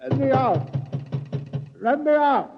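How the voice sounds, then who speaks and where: Panicked, very high-pitched robot double. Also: male voice, animated, slow pace, gravelly, faint music behind, exaggerated voice. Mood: frantic pleading. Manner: frantic, stammering pleas. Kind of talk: 1950s radio drama acting. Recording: echoey hall, muffled vintage radio recording.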